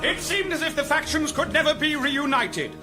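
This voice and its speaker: A man's voice: very high voice, moderate pace, music in the background. A British man playing a grave, dramatic documentary narrator in a mock-history radio comedy, speaking with portentous emphasis.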